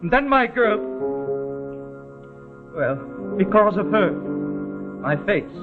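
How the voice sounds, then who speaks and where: An actor playing a cruel, taunting escaped killer in an old melodrama, sneering and commanding, swinging between quiet and outbursts. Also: male voice, high-pitched, sing-song, slow, over music, full character voice. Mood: weary, brooding. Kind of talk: vintage screen melodrama acting. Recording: tinny band-limited old film soundtrack.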